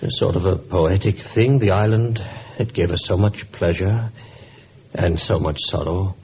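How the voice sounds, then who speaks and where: A man acting a middle-aged, bereaved father in a vintage radio drama, gentle, wistful and hesitant. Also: deep voice, measured pace. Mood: grieving. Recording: muffled, hissy old radio recording.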